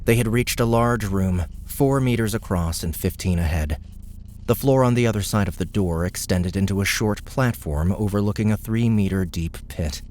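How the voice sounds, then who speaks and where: A man's voice: on the low side, normal speed, a little mellow, slight background noise. A man narrating scripted fiction as an audiobook narrator.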